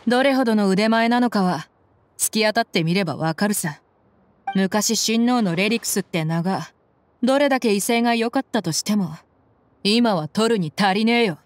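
A woman's voice: mid-range voice, expressive, normal speed, slight background noise, close to an everyday voice. An actress playing a bold, protective female desert mercenary in an anime game, with blunt tomboyish phrasing. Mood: confident.

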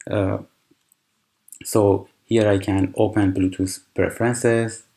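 Brief: native Persian-speaking man, very low and unhurried, tutorial presenter with frequent "uh"s. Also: little pitch movement, creaky, mellow. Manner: unhurried, explanatory, with frequent fillers and restarts. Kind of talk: screen-recorded software tutorial.

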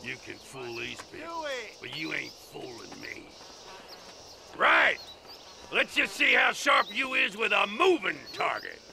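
An actor playing a belligerent, hot-tempered frontier heckler in a western game, shouting taunts. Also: male voice, on the high side, wildly swooping pitch, unhurried, gravelly, long pauses, a little hiss or hum, full character voice. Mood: scornful. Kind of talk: video game western acting.

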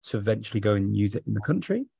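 A man's voice: on the low side, very animated, rapid-fire, creaky, dark tone, breathy edge, dry recording. A middle-aged man asking follow-up questions in a casual video call, with hedging fillers. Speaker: interviewer. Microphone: webcam video call.

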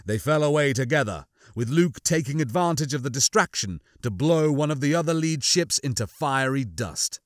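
A man's voice: mid-range voice, expressive, normal speed, few pauses, no room sound, clean studio sound. A man giving a dramatic scripted narration of a science fiction audiobook.

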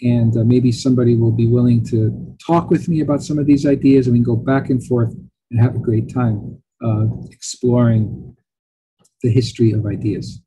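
A middle-aged man lectures in a loose, chatty way, his first language Italian. His voice is very dark and deeply rough, almost breathy. He talks at a desk webcam, full of fillers and lively asides. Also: moderate pace, rough recording. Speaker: professor.

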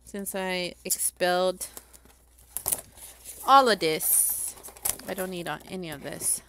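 Chatty Puerto Rican woman with vocal fry. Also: on the low side, slow pace, bright, forceful tone, a little hiss or hum. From Puerto Rico. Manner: chatty, rambling, laughs at herself. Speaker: crafting livestreamer. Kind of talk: casual chat while crafting. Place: craft table at home, overhead camera on her hands.

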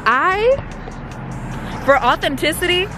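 A woman's voice: extremely high pitch, lively intonation, slow. A woman, chatting and laughing through a casual food vlog, filmed on a handheld camera in a car and a small restaurant. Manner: chatty and playful, laughs a lot. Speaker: vlogger. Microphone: handheld camera at arm's length. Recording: music and crowd chatter behind.

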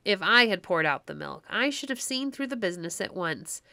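A woman's voice: mid-range voice, sing-song, moderate pace, creaky, bright, forceful tone, dry recording, exaggerated voice. A woman voicing a kindly, humble old husband in old-fashioned storybook speech. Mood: amused, doubting.

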